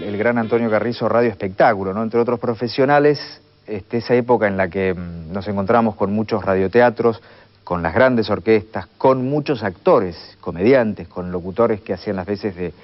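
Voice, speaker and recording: A man with an Argentine accent giving a measured, formally worded explanation in a documentary interview. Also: on the low side, highs cut off. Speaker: interviewed radio commentator.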